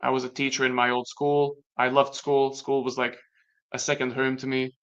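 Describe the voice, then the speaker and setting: A young man from Latvia whose first language is Latvian, talking through personal experiences in a casual conversation, reflective and halting with many restarts. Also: medium pitch, monotone, fairly bright tone, clean tone. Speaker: guest. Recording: dull lo-fi sound.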